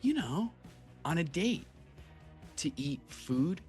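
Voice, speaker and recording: Male actor as savvy young boy, blunt, very animated, table read comedy, faint music behind. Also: fairly high pitch, slow. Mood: coaching. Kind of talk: live comedic table read of a film script.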